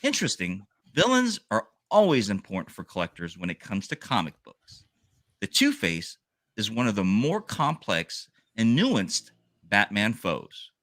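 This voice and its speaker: A man's voice: on the low side, huge pitch swings, a little slow, fairly bright tone, no room sound, studio quality. A man co-hosting a comic book podcast, reading a scripted sales rundown and joking with his co-hosts. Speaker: podcast co-host.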